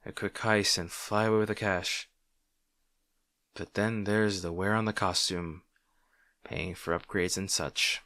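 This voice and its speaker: A man's voice: sits low, little pitch movement, unhurried, long pauses, pushed and bright, no room sound, studio quality, heavily acted. A man playing a wry, insecure, secretly fond supervillain rival in a roleplay audio drama, talking to the listener in a hesitant, conversational, sardonic way. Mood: wry, weary.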